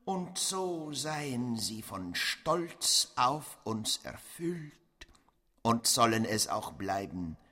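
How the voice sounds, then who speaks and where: A man's voice: medium pitch, sing-song, drawn-out words, bright, forceful tone, full character voice. An actor in a German radio play playing a crude, bossy man who acts as mock-solemn officiant, in a theatrical, declamatory way. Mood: solemn, imploring.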